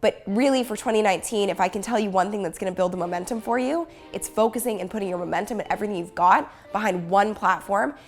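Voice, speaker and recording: A woman in her thirties with a hoarse voice from a cold, talking upbeat and chatty straight to her viewers in a home vlog. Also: medium pitch, quick pace, hardly any pauses, soft background music. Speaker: YouTuber and business coach. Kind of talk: casual talking-head vlog.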